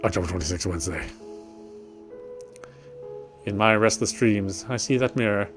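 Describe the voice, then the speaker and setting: A man's voice: fairly low pitch, normal speed. Man playing through a story game aloud. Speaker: game streamer. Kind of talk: casual let's play commentary and reading story text aloud. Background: game music.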